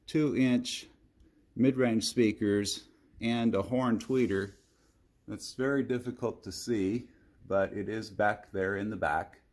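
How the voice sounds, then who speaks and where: A man narrating a product demonstration as a reviewer, explaining things in a measured, methodical way. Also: lowish voice, a bit bright.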